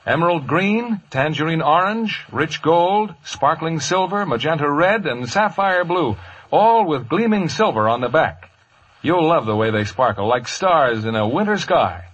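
An American man works as a commercial announcer on vintage 1950s radio. His voice sits fairly high and moves with very animated intonation, with a husky edge to the tone. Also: unhurried, rough recording. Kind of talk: 1950s radio advertising read.

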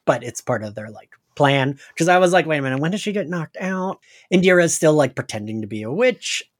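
Animated, chatty man podcasting. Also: fairly high pitch, brisk. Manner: chatty, frequent "like", animated quoting. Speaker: podcast co-host. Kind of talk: casual pop-culture podcast chat.

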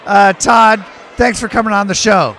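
Podcast host, man, high and bright voice; enthusiastic, rambling questions; noisy hotel bar. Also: steady tone, moderate pace, a faint crowd. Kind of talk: casual bar interview. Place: busy hotel bar at night.

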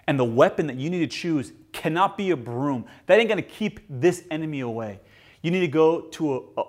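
A young adult man preaching a sermon as a pastor, telling stories with emphasis and swinging between loud lines and hushed ones. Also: on the high side. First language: English.

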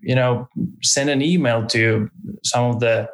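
Slow, even-voiced man. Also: medium pitch, low-quality recording. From Finland. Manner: hesitant, many restarts and fillers. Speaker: photographer guest. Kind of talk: casual interview talk.

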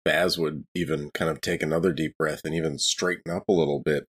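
A man playing a tabletop game, relaxed as he describes his character's actions. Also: very deep voice, fairly quick, breathy edge. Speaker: player. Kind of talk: casual tabletop play.

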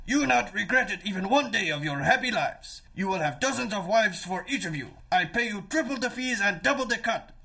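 A man playing a scheming, blustering music manager in a comedic radio play, wheedling and bossy, with a raspy voice. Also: high voice, animated, fairly quick, few pauses, pushed and bright, full character voice. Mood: pushy. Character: scheming, blustering music manager, sometimes disguised as oily foreign label man.

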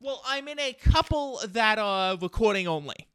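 A male podcast host with a high-pitched, very clear voice, recorded close and dry. He chats informally and rambles along in a casual, conversational way. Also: expressive, moderate pace, fairly bright tone, no room sound. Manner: chatty, informal, rambling sign-off.

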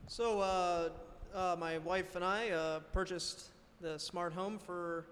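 A woman's voice: on the low side, fairly even intonation, slow. A woman playing a confused, agreeable husband in an improvised radio play, speaking hesitantly and earnestly. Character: confused, agreeable married man, earnest smart-home buyer. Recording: echoey hall-like reverb.

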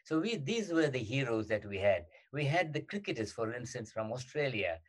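An older man with a South African accent, recalling his past in an interview, halting often with many fillers and restarts. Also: mid-range voice, big pitch swings. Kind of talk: reminiscing in an interview.

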